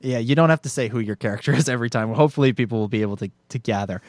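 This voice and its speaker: A man's voice: medium pitch, very fast, a little mellow, dry recording, clean studio sound. A man co-hosting a podcast, organizing a table read with many fillers. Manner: hesitant, filler-heavy directing. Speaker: podcast co-host. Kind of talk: casual chat.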